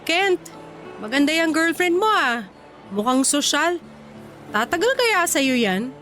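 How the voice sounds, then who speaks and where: A very high-pitched young Filipino woman, proud and sassy but wounded underneath. She talks with a sarcastic edge in radio drama style, over faint music. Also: big pitch swings, slow, fairly bright tone, heavily acted. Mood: sassy, taunting. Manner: taunting banter with sarcastic edge. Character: sassy, jealous, heartbroken young single mother.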